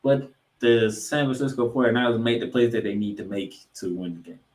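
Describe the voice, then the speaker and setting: A man hosting a sports podcast, giving football commentary in an emphatic way, with dramatic pauses and repeated phrases. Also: mid-range voice, darkish tone.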